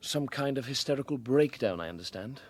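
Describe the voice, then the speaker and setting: A man's voice: mid-range voice, animated, fairly quick, natural voice. An actor playing a methodical, deferential police assistant in a radio drama, precise in his wording. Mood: dutiful, precise. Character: dutiful, methodical police assistant reading out details.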